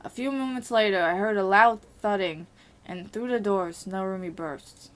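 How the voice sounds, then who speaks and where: A woman's voice: medium pitch, normal speed, fairly bright tone. A woman, an amateur voice actor, reading first-person visual novel narration steadily, with small slips and restarts.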